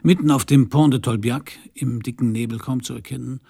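An actor playing a cynical private detective in a crime radio play, laconic and sardonic with a gravelly voice. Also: male voice, medium pitch, steady tone, fairly quick, dark tone. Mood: sardonic, brooding. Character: cynical, hard-boiled male private detective narrating his case. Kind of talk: first-person crime radio play narration and dialogue.

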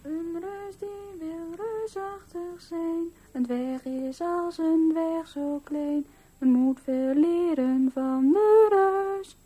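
A naive little girl for a radio play, high soft voice, candid and childlike. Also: takes it slowly. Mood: singsong reciting. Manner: childlike, artless. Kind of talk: radio drama.

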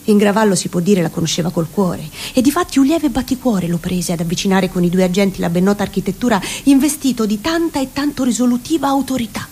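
A woman giving a dramatic literary reading, deliberate and theatrical, savouring ornate prose. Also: medium pitch, a little mellow, husky. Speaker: reciting actress in radio theatre.

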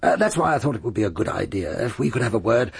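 An actor in a British radio drama playing a genial, shrewd police sergeant, husky and gravelly, with an unhurried, folksy way of probing. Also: male voice, medium pitch, very animated, dry recording, full character voice. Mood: politely firm. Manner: unhurried, folksy, gently persistent questioning.

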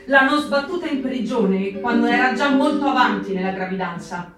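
A high-voiced woman camp inmate acted by a stage performer bears stark, sorrowful witness. The declarative theatrical reading rings in heavy echo, with loud guitar music playing along. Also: expressive, normal speed, natural voice. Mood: stark, grave. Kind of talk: theatrical dramatic reading of testimony. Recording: echoey, distant pickup under loud guitar.

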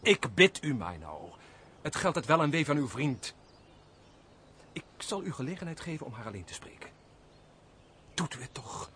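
A man acting an eager, insistent gentleman in a radio play, speaking formally and emphatically in old-fashioned theatrical Dutch style. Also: medium pitch, very animated, normal speed, long pauses, noisy background. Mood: pleading. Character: hearty, insistent gentleman friend, eager suitor.

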